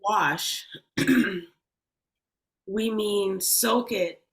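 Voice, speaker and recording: A woman's voice: medium pitch, very slow, a bit bright, poor audio. A woman interviewing a guest in casual chat, adding brief agreeing remarks.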